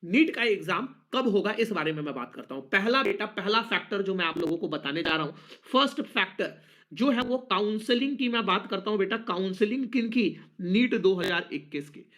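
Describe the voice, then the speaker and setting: A young Indian man with a high-pitched voice talks like an online teacher in a live session. He is emphatic and informal, often repeating key phrases and dropping English words into his speech. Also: bright, forceful tone. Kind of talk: informal live lesson addressed to students.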